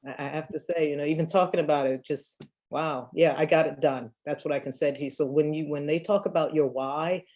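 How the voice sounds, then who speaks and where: A woman's voice: sits low, fairly even intonation, brisk, darkish tone. Emphatic woman, fifties, real estate guest, storytelling, laughs often. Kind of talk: casual storytelling Q&A.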